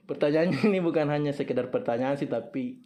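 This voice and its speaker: A man's voice: a little high, fairly quick, mellow. A young man hosting a student campus radio talk show, chatty, prompting his guests and reading listener questions aloud. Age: young adult.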